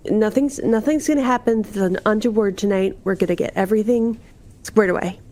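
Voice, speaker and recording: A woman's voice: medium pitch, brisk, mellow. A woman playing a tabletop roleplaying game, chatting casually in and out of character.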